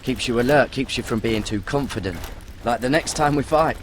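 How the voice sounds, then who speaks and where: An actor playing a steady, stubborn young ranger recruit in a fantasy video game, blunt and level-headed. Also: male voice, medium pitch, a little fast, slight background noise, lightly acted. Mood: reassuring, dry.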